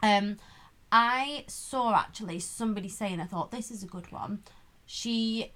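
A woman's voice: medium pitch, a little slow, fairly bright tone. A woman in her twenties co-hosting a podcast, chatting casually, often laughing and filling her talk with "like". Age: 20s. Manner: chatty, laughs a lot, lots of likes. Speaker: podcast co-host. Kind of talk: casual chat.

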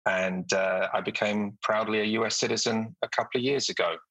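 British man, middle-aged, low flat voice, dry close sound, measured with ums. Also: husky, no room sound, low-quality recording. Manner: measured, with frequent ums and uhs. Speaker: guest, company executive. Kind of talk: podcast interview.

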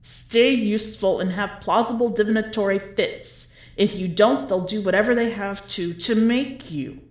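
A woman's voice: medium pitch, normal speed, close to an everyday voice. A woman voicing the bleak, desperate inner voice of a doomed prisoner in terse, clipped warnings, for a story reading. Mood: grim urgency. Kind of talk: dramatic short story reading.

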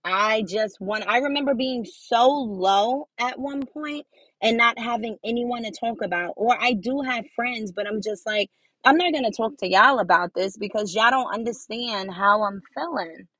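A young woman with a high voice hosting her own podcast, talking casually and repeating phrases for emphasis as she encourages listeners. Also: moderate pace, fairly bright tone, slightly rough, no echo, poor audio. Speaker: podcast host. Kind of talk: casual motivational monologue.

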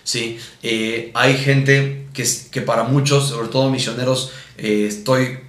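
Male pastor, Mexican accent, average pitch. Instructive preaching with repeated points and check-in questions, recorded with noticeable room echo. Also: steady tone, takes it slowly, a bit bright. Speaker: pastor teaching at a missions conference. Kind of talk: teaching sermon with Bible readings. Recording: roomy, echoing sound.

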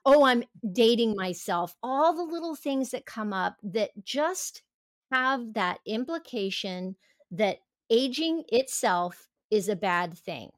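A woman's voice: a little high, expressive, slow pace, no room sound, clean studio sound. A woman in her fifties speaking as a podcast guest, earnest and reflective, often restarting her sentences. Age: 50s. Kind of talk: casual interview chat.

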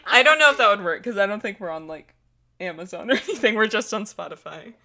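A young woman co-hosts a casual movie podcast, chatty and quick to giggle, with "like" in nearly every sentence. Her voice is bright and forward, with a little tension in it. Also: medium pitch, lively intonation. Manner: giggly, chatty, says "like" a lot. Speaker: podcast co-host. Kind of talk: casual chat.

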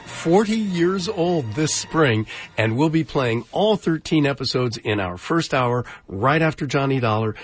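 A man's voice: mid-range voice, breathy edge. An American man hosting a radio show in a conversational presenting style.

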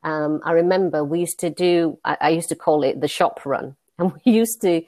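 Low-voiced woman with a Northern English accent. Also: normal speed, dry recording. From Leeds, England. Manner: warm storytelling, many fillers and restarts. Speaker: guest, money advisor. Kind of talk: conversational interview.

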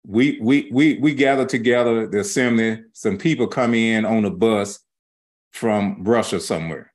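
A man with a fairly low voice teaches scripture informally. He moves in halting, broken phrases and uses folksy, colloquial grammar, like a teacher explaining things off the cuff. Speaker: religious teacher.

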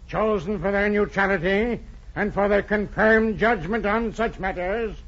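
High-voiced, oratorical senator. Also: male voice, fairly bright tone. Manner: formal, oratorical. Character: formal, earnest 19th-century senator addressing the Senate. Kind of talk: 1940s radio acting, oratory. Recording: muffled 1940s radio recording.

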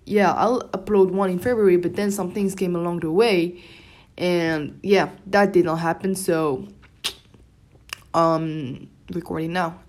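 A woman's voice: fairly low pitch, a little mellow. A young woman from Morocco, a native Darija speaker, hosting a casual solo podcast in an informal, rambling way and often interrupting herself. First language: Moroccan Arabic (Darija). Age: young adult. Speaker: podcast host.

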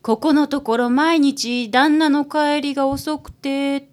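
A woman's voice: high voice, fairly even intonation, measured pace, darkish tone. A woman with a Kansai accent playing a nosy, pushy middle-aged housewife in a community radio drama, sounding indignant and gossipy.

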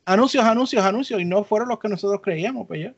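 A man's voice: high voice, quick pace, no room sound. A man chatting casually as co-host of a podcast. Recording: dull lo-fi audio.

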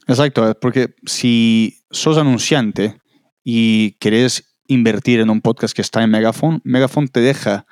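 A man's voice: mid-range voice, fairly even intonation, a little slow, darkish tone, clean tone, dry recording, studio quality. A man giving conversational, explanatory commentary as a podcast co-host.

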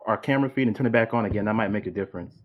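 A man co-hosting a podcast on a live video call, easygoing and helpful, answering with quick yeahs. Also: lowish voice, narrow, tinny audio. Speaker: podcast co-host. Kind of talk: casual live-stream chat.

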